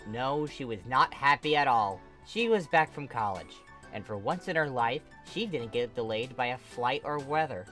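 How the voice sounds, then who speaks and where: Fan fiction narrator, mid-pitched voice, bright and punchy, expressive scripted delivery, faint music bed. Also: moderate pace, few pauses. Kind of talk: dramatic scripted narration of a comic story.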